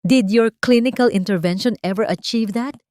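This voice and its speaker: A woman's voice: fairly high pitch, normal speed, dark tone, no echo, clean studio sound, voice pushed up, lightly acted. A woman voicing a protective father in an audio drama, curt, cold and challenging as he stands his ground.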